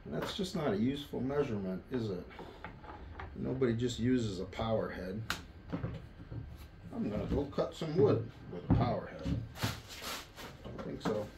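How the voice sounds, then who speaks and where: An echoey indoor recording with faint noise carries a middle-aged North American man. He reviews a tool casually, in a plain-spoken, offhand way, at a medium pitch. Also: animated, normal speed, long pauses. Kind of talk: casual hands-on product review. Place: indoor wooden workshop.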